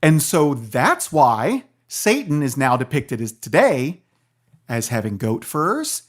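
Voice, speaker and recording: A man's voice: mid-range voice, wildly swooping pitch, slow pace. A man speaking as a guest professor in an interview, explaining things in a professorial way and checking in with listeners.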